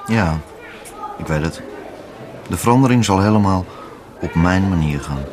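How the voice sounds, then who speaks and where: An actor playing a wistful man clinging to his former lover, gentle and musing, in a naturalistic radio drama. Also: sits low, animated, normal speed, crowd noise, background noise. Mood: resigned, tender.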